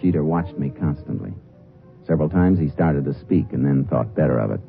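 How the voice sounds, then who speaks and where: An American actor in a 1950s radio drama, playing a terse Texas Ranger who poses as a tough jailbird. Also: male voice, a bass voice, expressive, fairly quick, creaky, heavily acted. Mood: terse, steady. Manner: terse, hard-boiled. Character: Texas Ranger posing as surly tough jailed crook. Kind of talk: 1950s radio acting and first-person narration. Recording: muffled vintage 1950s radio recording, music.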